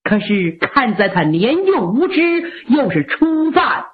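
A woman storyteller voicing an elderly matriarch who pleads slowly and grievingly. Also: fairly high pitch, big pitch swings. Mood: pleading. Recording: lo-fi narrow-band recording.

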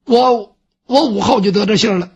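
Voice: mid-range voice, a little mellow, slightly rough. A storyteller playing a chatty palace servant. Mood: explaining. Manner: brisk, coaxing, plain-spoken. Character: palace servant consoling his superior, chatty.

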